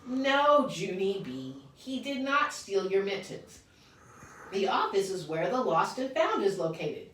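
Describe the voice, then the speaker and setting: Woman doing a calm, kindly teacher. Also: unhurried, a little hiss or hum. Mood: gentle. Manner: soothing, lower and gentler. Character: calm, kindly female schoolteacher. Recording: echoey room, distant mic.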